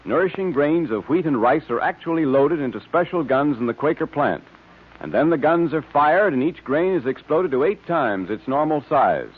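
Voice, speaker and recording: A man announces in scripted 1940s radio style. His delivery is animated, emphatic and salesmanlike, with a husky tone on a tinny, narrow recording. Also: a little high, normal speed.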